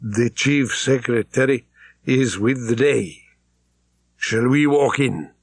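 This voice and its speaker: A man voicing a knowledgeable interpreter and guide, matter-of-fact and low-key, in an audiobook. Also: slow, dry recording. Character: brisk, knowledgeable male interpreter and local guide.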